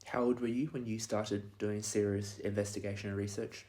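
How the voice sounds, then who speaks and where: A man's voice: fairly low pitch, level tone, normal speed, mellow. A young man hosting a podcast interview, friendly and chatty, often laughing lightly between questions. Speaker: podcast host. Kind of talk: casual interview.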